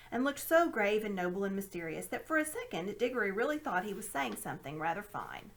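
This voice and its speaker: A woman narrating a children's story aloud in an expressive read-aloud style. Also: mid-range voice. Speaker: reader.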